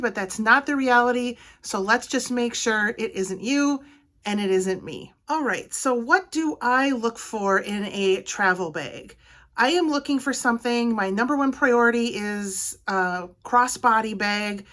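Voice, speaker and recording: A woman's voice: medium pitch, fairly bright tone. A middle-aged woman from Wisconsin with a Wisconsin accent, giving practical travel advice to camera in a plainspoken, orderly way. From Wisconsin, United States. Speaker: travel vlogger. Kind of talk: casual advice talk to camera.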